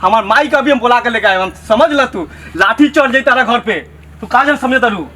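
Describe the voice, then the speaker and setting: Man playing a high-pitched, pleading young village lad. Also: expressive, measured pace, sharp tone, slightly rough, full character voice. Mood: offended. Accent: Bhojpuri. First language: Bhojpuri. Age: young adult. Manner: wheedling, boastful, repetitive. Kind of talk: comedy skit dialogue.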